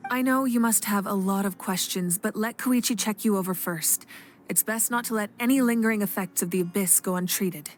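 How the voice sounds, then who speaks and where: A woman's voice: medium pitch, brisk, slight background noise, close to an everyday voice. A woman playing a composed, commanding female leader in a dramatic video game.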